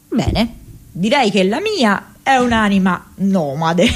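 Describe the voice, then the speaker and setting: An actress playing a restless, ironic young woman activist in a radio drama, reading her diary in an expressive, hesitant, conversational way. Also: mid-range voice. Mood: musing, restless. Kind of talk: theatrical radio monologue, intimate diary reading.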